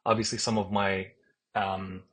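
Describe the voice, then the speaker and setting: A man with a Palestinian accent walks through a language lesson at an unhurried pace, saying every letter twice or three times. His voice is a little husky and sits on the low side. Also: level tone, fairly bright tone. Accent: Palestinian Arabic. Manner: slow and patient, repeating each letter name. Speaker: Arabic teacher. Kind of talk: screen-share alphabet lesson.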